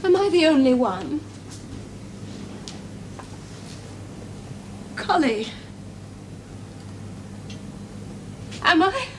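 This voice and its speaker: An anxious young woman scientist in a 1970s TV drama. Her high, expressive voice is earnest and haunted, with slight noise on the old soundtrack. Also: moderate pace. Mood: pleading. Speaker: actor in a television drama. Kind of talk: 1970s British television drama acting.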